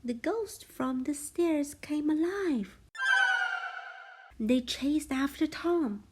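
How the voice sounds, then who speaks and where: A woman reading a children's storybook aloud as a parent would, expressively, with a hushed sense of suspense. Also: sits high, drawn-out words, over loud music.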